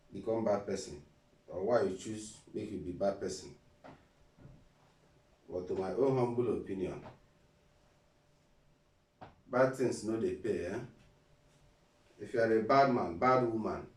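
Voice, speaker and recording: A man's voice: on the low side, recorded from a distance. A Nigerian man with an Igbo accent giving a casual motivational talk as a vlogger, preachy, repeating phrases and asking rhetorical questions. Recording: roomy and a bit off-mic.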